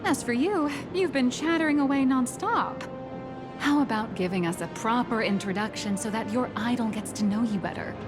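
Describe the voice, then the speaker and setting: A woman's voice: on the high side, moderate pace, over music, natural voice. A woman voicing a teasing, confident young woman in an anime-style video game, playful and breezy.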